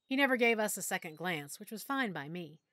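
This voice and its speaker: A woman narrating an audiobook in the first person, quietly and with emotion. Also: medium pitch, lively intonation, fairly quick, no echo.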